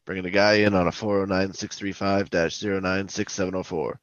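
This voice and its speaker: A man playing a stern, clipped, deadpan police detective in a parody of a 1950s radio drama. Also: deep voice, fairly quick, lo-fi sound, natural voice.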